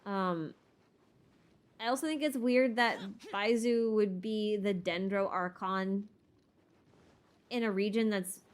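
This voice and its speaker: A woman's voice: medium pitch, takes it slowly, long pauses. A woman hosting a livestream, answering viewer questions in a casual, opinionated way as she thinks aloud, with informal, emphatic phrasing. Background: background music.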